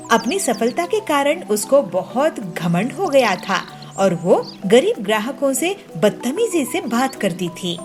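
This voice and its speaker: A woman narrates a scripted story for children. Her voice is on the high side and very animated in its rise and fall. Music plays clearly behind her.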